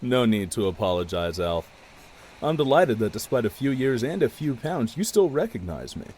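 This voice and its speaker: A man's voice: mid-range voice, big pitch swings, normal speed, a little mellow, slight background noise, natural voice. A man playing a genial, self-assured surgeon and old family friend in an audio drama, familiar and lightly teasing. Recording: rainy ambience behind.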